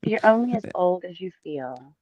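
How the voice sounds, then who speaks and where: A woman's voice: on the low side, animated, normal speed, a little mellow, dry recording. A woman co-hosting a live stream in casual chat, with playful, wry banter, on a dull lo-fi microphone. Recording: dull, lo-fi dry mic.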